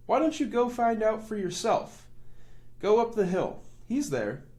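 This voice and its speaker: Man voicing a breezy wooden girl, lifted pitch. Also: very animated, unhurried, mellow. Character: carefree, self-assured female wooden toy person. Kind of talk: children's storybook reading.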